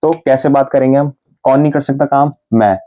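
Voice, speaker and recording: Mellow-voiced Indian man teaching English. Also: mid-range voice, steady tone, highs cut off. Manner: explains patiently, repeating example sentences slowly word by word. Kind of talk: Hindi-language English grammar lesson.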